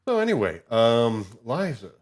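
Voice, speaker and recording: A man's voice: mid-range voice, huge pitch swings, moderate pace. A man hosting a show in a casual, informal chat, chuckling between remarks. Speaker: show host.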